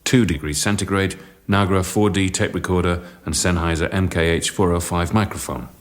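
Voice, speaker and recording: An actor playing a weary, fed-up sound engineer in a radio drama, with a blunt, dry delivery. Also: male voice, low-pitched, steady tone, normal speed, natural voice. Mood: flat, fed up.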